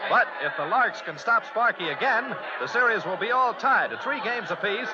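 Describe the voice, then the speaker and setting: A man's voice: sits high, lively intonation, normal speed. A man playing a folksy American baseball announcer in 1940s radio style, dramatic and chatty. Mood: suspenseful. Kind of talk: 1940s radio play-by-play commentary. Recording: dull old radio recording, loud crowd noise.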